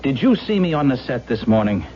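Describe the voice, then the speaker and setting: An actor playing a rattled, defensive murder suspect, halting and then emphatic, in a 1970s radio drama. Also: male voice, medium pitch, sing-song, close to an everyday voice. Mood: insistent. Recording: muffled, noisy vintage radio broadcast with hum.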